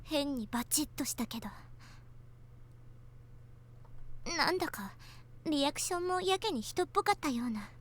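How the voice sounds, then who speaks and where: A woman playing a haughty, irritated, sharp-tongued teenage girl in a Japanese visual novel, curt and scornful. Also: high-pitched, expressive, rapid-fire, a little hiss or hum. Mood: puzzled, dismissive.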